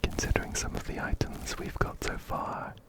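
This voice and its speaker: A man whispering softly and breathily into a close binaural microphone, giving gentle ASMR game commentary and often repeating words rhythmically. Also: moderate pace. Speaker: ASMR gaming video host. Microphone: close-up binaural microphone, ear to ear.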